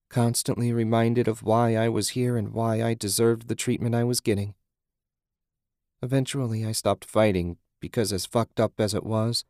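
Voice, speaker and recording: A man's voice: fairly low pitch, darkish tone, no echo, studio quality. A man voicing a self-loathing, beaten-down mother in an audiobook, confessing and pleading. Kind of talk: audiobook character acting.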